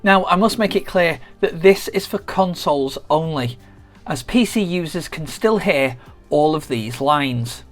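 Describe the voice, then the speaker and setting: A gaming channel host giving a measured, explanatory, scripted narration for a video essay. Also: medium pitch, animated. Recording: music behind the voice. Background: background music.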